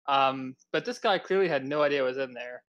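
A man's voice: medium pitch, brisk, muffled recording. Young man rambling through a story. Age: young adult. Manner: rambling and animated, full of "like" and "um". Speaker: podcast co-host. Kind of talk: casual storytelling among friends.

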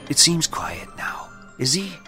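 An actor playing a solemn, probing priest who investigates spirits in an audio play, grave and cryptic in manner. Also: male voice, fairly high pitch, very animated, measured pace, bright, forceful tone, faint music behind, full character voice. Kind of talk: dramatic audio play acting.

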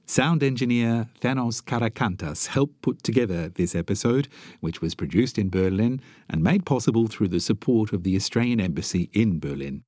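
A man hosting a podcast, reading a measured, scripted closing announcement. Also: lowish voice, expressive, mellow, no room sound, studio quality. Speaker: podcast host. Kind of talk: scripted outro.

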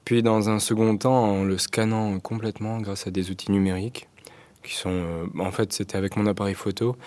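Soft-spoken hesitant young man. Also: fairly low pitch, level tone, a little fast. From Algeria. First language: Arabic. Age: 20s. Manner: hesitant, many fillers and restarts. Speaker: guest artist. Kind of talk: radio interview.

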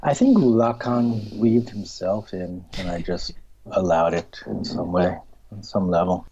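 A middle-aged man, a filmmaker and writer, answering interview questions in a halting, searching way, restarting often and trailing off into a soft whisper. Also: low-pitched, background noise. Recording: dull lo-fi recording.

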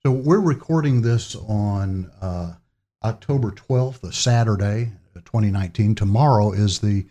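Interviewer, male, low mellow voice, short pointed questions. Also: unhurried. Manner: brief, probing questions.

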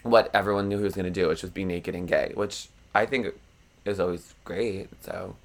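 A man's voice: low voice, fairly even intonation, normal speed. A young man with a froggy voice, co-hosting a podcast in rambling, self-deprecating casual chat and laughing often. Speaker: podcast co-host.

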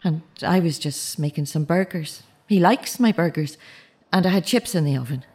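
An actress playing a fragile, grieving middle-aged mother in a naturalistic audio drama, speaking in a halting, confessional way. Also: lowish voice, a little mellow, breathy edge. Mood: grieving, restrained. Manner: halting, self-correcting, confessional. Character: grieving mother of a murdered teenage son, fragile.

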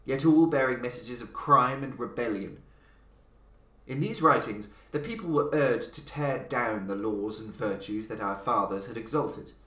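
A man, the podcast's host, reading a horror story aloud in a measured, theatrical style. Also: mid-range voice, fairly bright tone. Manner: measured, theatrical storytelling with slow emphatic passages. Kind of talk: dramatic scripted narration of a horror story. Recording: roomy echo.

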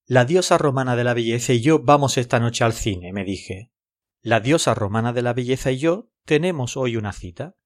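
A man's voice: medium pitch, lively intonation, normal speed, lightly acted. A man playing a lonely, lovelorn and self-deprecating narrator in a scripted audio fiction. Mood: nervous, self-mocking.

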